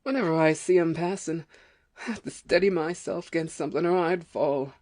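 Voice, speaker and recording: A woman's voice: sits low, fairly quick, a little mellow, natural voice. A woman playing an overworked, nervous, complaining farm wife in an amateur audio play, with folksy rustic speech. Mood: fearful, anxious. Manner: folksy rustic dialect, fretful.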